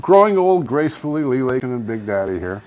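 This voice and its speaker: Older Canadian man, lively pitch, some background noise. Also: fairly quick, low-quality recording. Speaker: co-host of a local online radio show. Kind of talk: casual co-hosted chat. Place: home studio.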